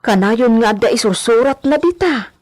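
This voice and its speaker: An actress with an Ilocano accent playing a gossipy, respectful and easily startled housemaid in a radio drama, full of asides and exclamations. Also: female voice, fairly high pitch, lively intonation, normal speed, a little mellow, dry recording, studio quality, natural voice. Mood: curious, muttering.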